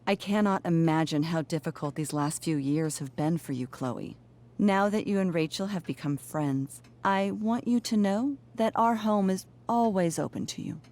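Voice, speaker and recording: A woman playing a polite, gentle middle-aged mother who hosts dinner in a video game, speaking courteously and properly. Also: on the low side, moderate pace, close to an everyday voice. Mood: sympathetic. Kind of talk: naturalistic video game acting.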